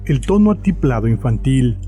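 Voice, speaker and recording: A man narrates a scripted horror tale in a mellow, dark voice of medium pitch. Faint music runs underneath his narration. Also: very animated, normal speed.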